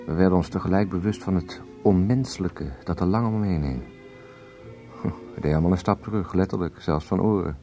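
A man's voice: sits low, brisk, mellow. An actor in a radio play voicing a reserved male confidant, hesitant and understated. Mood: reflective. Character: reserved male confidant listening to an unhappy wife. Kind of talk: Dutch radio play acting. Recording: dull lo-fi radio play recording, music.

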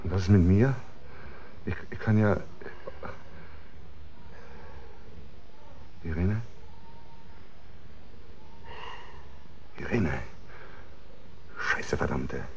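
An actor playing a curt, exasperated younger husband who is after money, in a German radio drama. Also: male voice, low voice, animated, measured pace, vocal fry, darkish tone, exaggerated voice. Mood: pressing. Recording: noisy radio drama scene.

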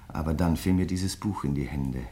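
An actor playing a grieving crime writer in a German radio play, speaking in a measured, reflective way with polite insistence. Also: male voice, a bass voice, dark tone. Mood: troubled, brooding.

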